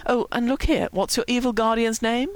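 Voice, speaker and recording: A woman's voice: on the high side, steady tone, no room sound, voice pushed up, close to an everyday voice. Woman playing a pompous, exasperated young man. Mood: wounded dignity. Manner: pompous, measured, quiet. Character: dignified, exasperated older young man, wounded pride.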